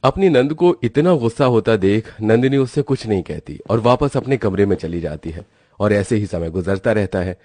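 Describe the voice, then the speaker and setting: A man's voice: fairly low pitch, animated, moderate pace, a little mellow, dry recording. A man reading scripted storybook narration as the narrator of a tale.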